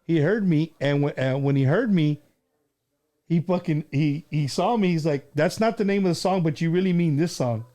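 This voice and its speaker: A man hosting a casual interview show, talking in a halting, repetitive way with loose swearing. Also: fairly high pitch, mellow. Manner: halting, repeats words, profane. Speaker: show host.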